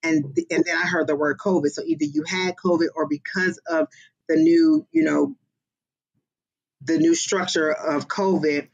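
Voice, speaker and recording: A psychic medium giving live-streamed readings in a casual, expressive way, often exclaiming and pausing on fillers. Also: medium pitch, creaky, lo-fi sound.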